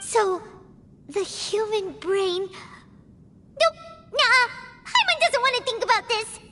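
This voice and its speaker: Tiny female fairy companion, game, very high squeaky voice, childlike, bouncy intonation, excitable. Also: takes it slowly, very noisy, full character voice. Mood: alarmed. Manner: refers to herself in the third person. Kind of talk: game cutscene voice acting. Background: loud game soundtrack music.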